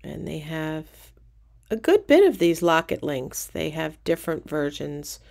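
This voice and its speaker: A middle-aged woman casually narrating a walking tour of a cruise ship casino, relaxed and pointing things out as she goes. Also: sits low, unhurried, creaky.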